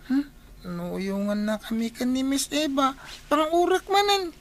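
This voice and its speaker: High-voiced innocent little boy, voiced by a woman. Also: a little slow. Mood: eager. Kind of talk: radio drama acting.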